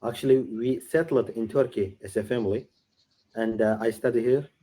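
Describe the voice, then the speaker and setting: Hesitant young learner. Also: male voice, medium pitch, warm tone. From Iraq. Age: late teens. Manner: halting, many uh fillers and restarts. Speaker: English learner. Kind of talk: casual video-call conversation.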